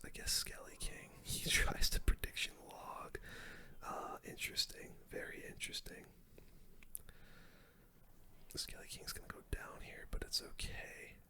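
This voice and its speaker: A person whispering casual ASMR gameplay commentary, breathy and unvoiced, full of gamer slang while talking through each move. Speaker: game streamer.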